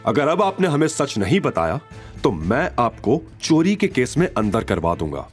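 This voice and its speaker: A man's voice: mid-range voice, very animated, moderate pace, soft background music, natural voice. A man voicing a suspicious, officious male sales manager who accuses and threatens in an audio drama. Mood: threatening.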